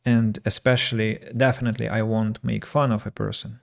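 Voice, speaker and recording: A man, his voice gravelly with vocal fry, talking alone on his podcast. He reflects on listeners' comments as an English teacher, with plenty of fillers and false starts. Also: lowish voice, little pitch movement, a little fast. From Russia. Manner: reflective, reads listener comments aloud, frequent fillers and restarts. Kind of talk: solo podcast talk.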